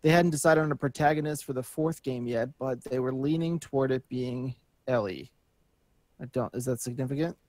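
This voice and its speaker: A man's voice: mid-range voice, fairly quick, a little mellow, no echo. A man co-hosting a podcast, reading news aloud and chatting in an informal, joking way. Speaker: podcast co-host.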